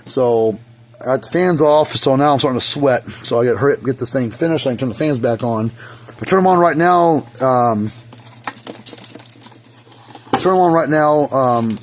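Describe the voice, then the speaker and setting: Man, hoarse voice, folksy rambling repair talk, average pitch, faint fan noise behind. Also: brisk. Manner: rambling, folksy, many fillers. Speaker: fence energizer repair technician. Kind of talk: casual how-to repair demonstration. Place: hot repair shop. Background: faint hum of a small fan.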